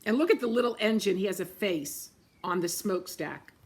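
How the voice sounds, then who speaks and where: A woman, a teacher, reading a picture book aloud at storytime, gently pointing out the pictures and often clearing her throat. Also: medium pitch, lively intonation, brisk. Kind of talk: storytime read-aloud to children.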